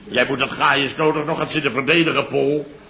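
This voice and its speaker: A man's voice: mid-range voice, fairly even intonation, fairly quick, creaky, pushed and bright. A man playing a blustering, irritable middle-aged boss in a 1960s radio play who keeps protesting and grumbling. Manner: blustering, indignant, exclaims "te helle". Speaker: the boss ("chef"). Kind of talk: 1960s radio drama acting. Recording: dull lo-fi old radio recording with faint hiss.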